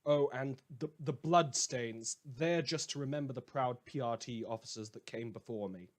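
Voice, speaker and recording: A man acts out a theatrical late-night style radio host with a mid-pitched voice. He rambles with heavy sarcasm and mock grandeur, a self-appointed vigilante lawman addressing his listeners in an audio drama. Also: moderate pace, close to an everyday voice. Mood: wry, smug. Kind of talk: scripted fictional radio monologue.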